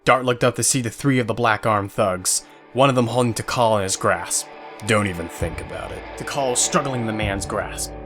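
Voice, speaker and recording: A man's voice: medium pitch, brisk, soft background music. A man reading scripted narration for an audio drama, telling the scenes as the narrator.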